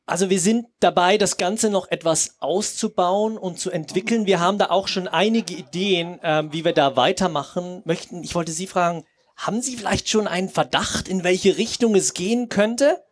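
A man talking on a stage in improvised style, presenting hesitantly and deliberately. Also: high voice. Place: tented festival stage.

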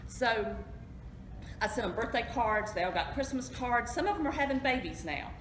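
A woman's voice: a little high, animated, moderate pace. Middle-aged lady chatting to a crowd, echoey hall, background noise. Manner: friendly, anecdotal, self-deprecating. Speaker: travel agent presenting. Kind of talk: informal presentation to an audience. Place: hotel meeting room, indoors. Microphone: far camera, no mic. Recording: echoey room, noisy.

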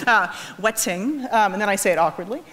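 A woman in her thirties with a New England American accent gives an informal lecture in an animated way, often restarting her sentences. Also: medium pitch, racing. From New England, United States. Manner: animated explaining, self-correcting with frequent false starts. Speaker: physics professor giving a lecture. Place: large auditorium stage.

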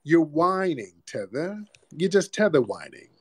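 A man's voice: fairly high pitch, big pitch swings, measured pace, slightly rough, no echo. A man hosting a heated call-in debate, combative, repeating himself and talking over the caller. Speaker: host.

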